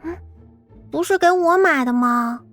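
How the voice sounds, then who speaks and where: A soft, high-pitched college girl acted by a woman, naive and pitiably cute. She speaks with childlike, deadpan earnestness in an audio drama, music playing quietly behind. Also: unhurried. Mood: sulky, deadpan. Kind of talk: Chinese audio drama acting.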